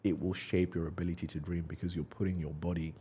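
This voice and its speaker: Deep, steady motivational host. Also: male voice, fast, a little hiss or hum. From United Kingdom. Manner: encouraging, motivational, frequent "yeah" backchannels. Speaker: podcast host. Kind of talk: casual interview talk.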